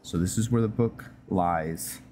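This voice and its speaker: A man's voice: deep voice, unhurried, a little hiss or hum. A man doing casual game commentary, thinking aloud to himself in short steps as he plays through a roleplay. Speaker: gamer hosting a roleplay playthrough. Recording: loud music over the voice. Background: loud game music.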